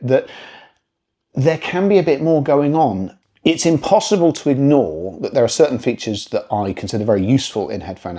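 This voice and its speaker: A British man presenting a conversational product review, speaking in a measured, articulate, discursive way with wry asides. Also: mid-range voice, dark tone.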